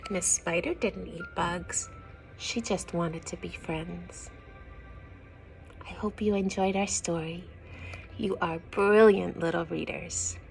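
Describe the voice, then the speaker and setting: A woman reading a picture book aloud to young children, expressive and gentle, often dropping into hushed asides to her listeners. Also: lowish voice, takes it slowly, creaky, soft background music, poor audio. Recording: some background noise.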